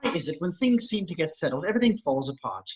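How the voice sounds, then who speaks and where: A man's voice: a little high, big pitch swings, quick pace, a little mellow. A man playing a fretful, mannerly protocol droid in an amateur table read, polite and fussy. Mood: wistful, concerned. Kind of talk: amateur remote table read of a film script. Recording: dull, lo-fi home microphone.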